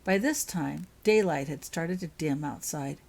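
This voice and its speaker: A woman reading her own fiction aloud as an expressive storyteller. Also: sits low, measured pace, creaky. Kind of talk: audiobook-style narration of fan fiction.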